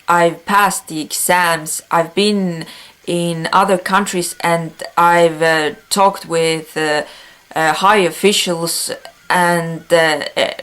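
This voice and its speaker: A woman whose first language is Estonian, speaking English with an Estonian accent as a news vlog host, earnest, with frequent pauses and filler sounds. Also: lowish voice, a bit bright.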